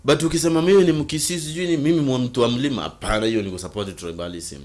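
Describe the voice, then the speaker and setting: A man's voice: mid-range voice, fast, darkish tone. Kenyan-accented man, mid-range voice, pushy debater who repeats himself. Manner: insistent, repeats himself, mixes English and Swahili slang. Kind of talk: casual debate.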